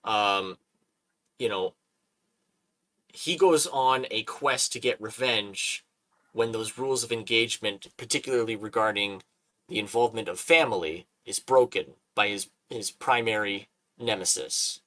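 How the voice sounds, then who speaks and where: A man hosts a loose, casual chat with a bright, crisp voice. He talks in animated bursts, laughs often and repeats his words as he goes. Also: lowish voice, unhurried, low-quality recording. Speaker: host.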